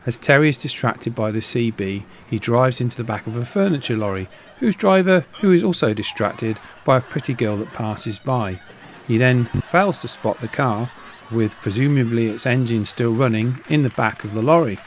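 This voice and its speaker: A man's voice: medium pitch, animated, normal speed, slight background noise. A middle-aged man reading a scripted voice-over narration as an online video host. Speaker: YouTube host, radio hobbyist.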